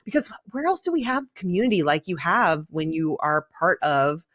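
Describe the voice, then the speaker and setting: An American woman, a podcast co-host, chatting casually and telling stories in a candid, animated way. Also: on the low side, normal speed, no echo, clean studio sound. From Saint Louis, American Midwest. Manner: animated, candid storytelling with quoted dialogue and casual swearing. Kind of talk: casual chat.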